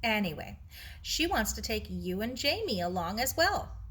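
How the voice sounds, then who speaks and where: Woman as a kindly storybook aunt, bright-voiced. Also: mid-range voice, big pitch swings, moderate pace, husky. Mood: pleased, reassuring.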